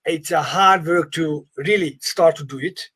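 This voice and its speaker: Husky higher-pitched man, non-native English. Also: unhurried, sharp tone. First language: not English. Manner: emphatic, encouraging, repeats phrases. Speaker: property investment coach. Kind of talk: informal live training session.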